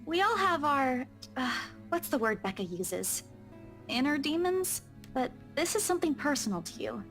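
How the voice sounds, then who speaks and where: High, lively young woman, caring and proud. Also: moderate pace, faint music behind, exaggerated voice. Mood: subdued, reassuring. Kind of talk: visual novel voice acting.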